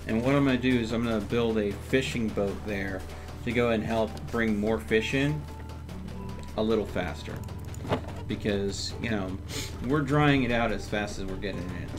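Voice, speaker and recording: A man hosting a livestream, casually talking through his game step by step and chatting with viewers. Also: on the low side. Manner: thinks aloud step by step.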